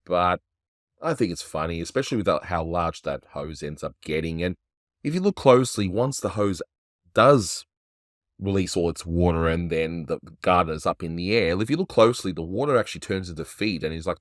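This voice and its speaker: A man hosting a casual cartoon review, talking in a relaxed, offhand way and chuckling often. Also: low voice, no room sound, clean studio sound. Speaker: animation reviewer, host.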